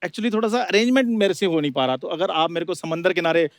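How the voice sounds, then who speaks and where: A man's voice: sits high, quick pace, no room sound, clean studio sound. A man playing a brash, scheming freeloader in a TV comedy sketch, pleading and boasting. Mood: wheedling.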